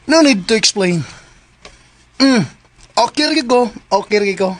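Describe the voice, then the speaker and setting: A man's voice: high voice, lively intonation, unhurried, no room sound. A man playing a flamboyant, possessive, scheming bodyguard in a Filipino radio drama, exclaiming in a theatrical sing-song. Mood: sulky, hurt.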